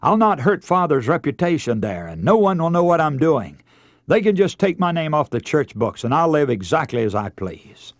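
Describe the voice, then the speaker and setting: An excited young runaway son as a male preacher acts him out in a radio sermon. He is carefree, boastful and a little defiant, with lively swinging intonation and a dry, close sound. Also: a little high, moderate pace, no echo. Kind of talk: acted quotes in a radio sermon.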